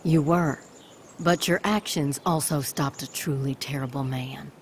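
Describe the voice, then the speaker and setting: Low-voiced, grave woman. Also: a little slow, slight background noise. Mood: stern, cautioning. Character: stern but compassionate woman, grave and forthright. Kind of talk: video game cinematic dialogue.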